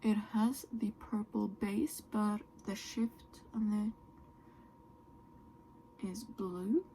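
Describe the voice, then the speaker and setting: Slow, gravelly-voiced woman. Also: mid-range voice, steady tone, slight background noise. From Norway. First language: Norwegian. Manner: soft, often trailing into a whisper. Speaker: beauty vlogger. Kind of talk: makeup tutorial chat.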